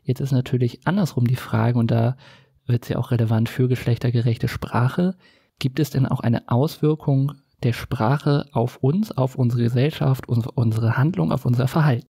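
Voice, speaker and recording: Soft, husky male podcast host. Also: mid-range voice, fairly even intonation, moderate pace, dry recording, clean studio sound. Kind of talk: casual podcast commentary.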